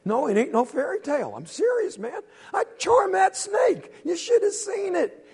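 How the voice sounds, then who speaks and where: A man's voice: high voice, big pitch swings, moderate pace, creaky, slightly rough, voice pushed up, full character voice. A man voicing a stubborn, earnest young boy who plays the fiddle, in a told folk tale. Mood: insistent. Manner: earnest, insistent.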